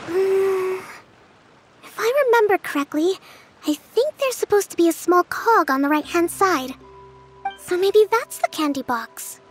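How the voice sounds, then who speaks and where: Squeaky, excitable little fairy girl. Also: measured pace. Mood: thoughtful. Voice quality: squeaky, childlike. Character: tiny excitable childlike fairy girl companion, cheeky and eager. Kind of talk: animated video game voice acting. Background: music.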